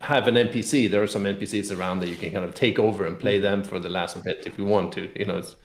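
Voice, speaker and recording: Male game publisher guest, low voice, rambling explanatory chat, roomy echoing sound. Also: steady tone, quick pace. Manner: rambling, explanatory, hedging with "kind of". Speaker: game publisher representative being interviewed. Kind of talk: casual interview chat about a tabletop game.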